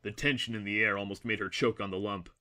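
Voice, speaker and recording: Man, story narrator, slightly low pitch, bright forceful tone, measured, solemn, literary scripted delivery. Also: fairly even intonation.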